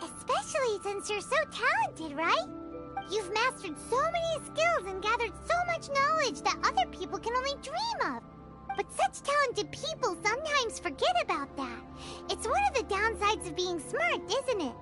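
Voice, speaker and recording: A woman voicing a small, excitable female fairy companion in a video game, with a high childlike voice and a teasing, exclamatory manner. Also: moderate pace, over loud music. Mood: flattering. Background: game soundtrack.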